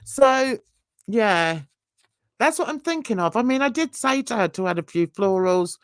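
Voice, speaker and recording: A woman's voice: a little high, lively intonation, a little slow, no echo, studio quality. A woman, chatting casually with livestream viewers in a rambling, self-mocking way and laughing often. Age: 60s. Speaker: livestream host.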